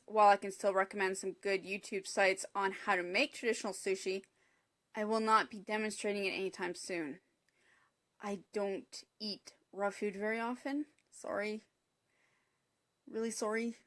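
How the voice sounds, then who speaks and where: A woman's voice: medium pitch, fairly bright tone. A woman with a Canadian accent hosting a live cooking demonstration from her home kitchen, chatty and apologetic, greeting viewers by name as she talks them through each step. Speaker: cooking show host.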